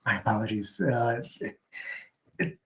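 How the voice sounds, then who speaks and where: A man's voice: on the low side, fairly even intonation, unhurried. A man playing a tabletop game in character, hesitant with many fillers. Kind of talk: casual in-character play.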